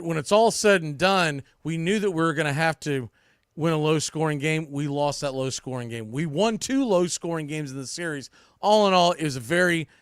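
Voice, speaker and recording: A man's voice: fairly high pitch, clean tone, no room sound, studio quality. A man hosting a sports podcast solo, giving opinionated baseball commentary in a blunt, colloquial way and repeating phrases for emphasis.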